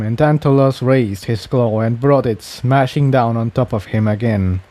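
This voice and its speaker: Male story reader, mid-range pitch, dark mellow tone, scripted fiction narration.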